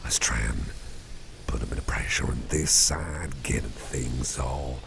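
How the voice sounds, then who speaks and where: A man playing a caring, easygoing boss in an ASMR roleplay, murmuring softly and breathily in a rambling, reassuring way, close to the microphone. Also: rumbling low, unhurried, creaky, slight background noise, heavily acted. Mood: soothing, attentive. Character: caring, easygoing male boss, gently soothing and chatty. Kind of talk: ASMR roleplay, soft personal-attention talk.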